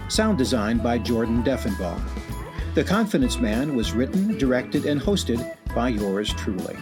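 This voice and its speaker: Theatrical male radio host over music. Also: mid-range voice. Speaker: host and narrator. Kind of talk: scripted radio anthology outro.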